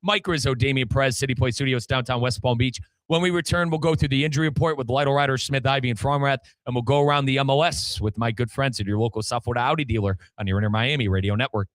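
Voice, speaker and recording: A man's voice: mid-range voice, fast, few pauses, dry recording. Male sports radio host: opinionated, emphatic, repetitive banter, close dry studio sound. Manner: emphatic, repeats himself. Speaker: sports talk show host.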